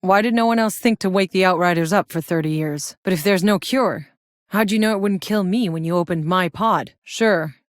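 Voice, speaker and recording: Casting: actress to play battle-hardened sci-fi game heroine, female, lowish voice, blunt, wry, very dry close recording. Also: moderate pace, dry recording, close to an everyday voice. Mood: probing, angry. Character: tough, sardonic battle-hardened female soldier in sci-fi wasteland. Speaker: video game voice actor. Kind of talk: scripted video game character voice lines.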